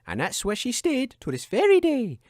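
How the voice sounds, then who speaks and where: A man's voice: very high voice, huge pitch swings, normal speed, darkish tone, no room sound, clean studio sound, heavily acted. An actor, a man, playing an earnest, theatrical storyteller narrating a children's fairy tale for comedy.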